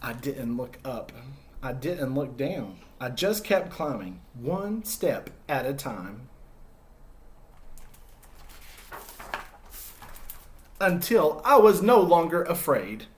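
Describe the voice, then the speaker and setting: A man's voice: mid-range voice, very animated, slow pace. A man voicing a timid yet persevering egg in a children's storybook, narrating earnestly and expressively in the first person. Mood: nervous, determined.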